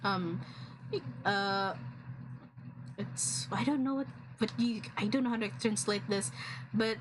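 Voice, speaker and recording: A woman's voice: mid-range voice, takes it slowly, slight background noise, poor audio. A young woman with a Filipino accent, whose first language is a regional Philippine one, chatting casually on a drawing stream with many fillers and restarts. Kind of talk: casual chat while drawing.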